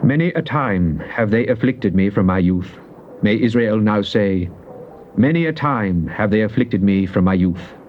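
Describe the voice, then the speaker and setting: A man giving a dramatized recitation of psalms, solemn and declamatory, with drawn-out emphasis. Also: on the low side, expressive. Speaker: scripture reader. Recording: muffled, noisy old cassette tape.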